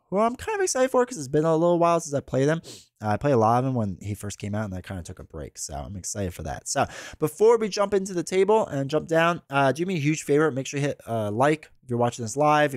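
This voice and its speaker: A man in his thirties with a stuffy head cold, chatting casually as a host while playing a card game, rambling with fillers and restarts, close on a boom mic in a home studio. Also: no room sound. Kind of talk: casual gameplay commentary. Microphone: boom mic close to the mouth.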